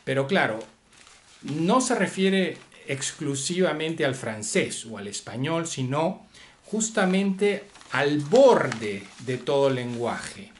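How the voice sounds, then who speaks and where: A man giving an unscripted philosophy lecture, pausing often with fillers and asking rhetorical questions. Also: a little high, very animated, slight background noise.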